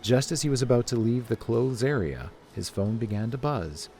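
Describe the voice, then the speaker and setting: A man's voice: medium pitch, normal speed, warm tone. A man reading scripted story narration as the narrator of an audio drama. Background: music.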